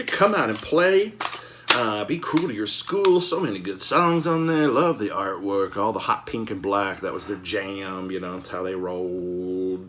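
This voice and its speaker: A man chatting casually and enthusiastically as host of a collecting show, with an excitable, slangy, folksy manner full of exclamations. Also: mid-range voice, a little hiss or hum. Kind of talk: casual enthusiastic vlog chat.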